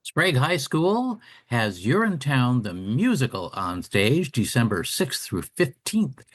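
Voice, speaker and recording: A man's voice: mid-range voice, sing-song, unhurried. A man co-hosting a radio talk show, delivering scripted announcements and interview questions.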